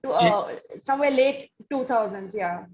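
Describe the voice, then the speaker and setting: Through slightly muffled, lo-fi audio, a woman with an Indian accent hosts an interview. Her voice sits fairly high, and she phrases things in a wordy, conversational way. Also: normal speed, a crowd in the background. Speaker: interview host. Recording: dull, lo-fi connection.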